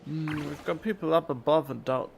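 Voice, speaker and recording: A man's voice: on the high side, fairly quick, creaky, a little hiss or hum. A man streaming a video game, playfully reading dialogue aloud and reacting.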